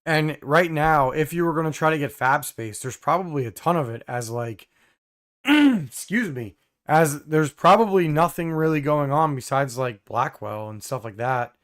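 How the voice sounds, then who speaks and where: Hoarse young man chatting on a stream. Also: on the high side, animated, normal speed, a bit bright. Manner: rambling, many fillers, throat clearing. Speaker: live stream host. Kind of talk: casual live stream chat, reading viewer comments.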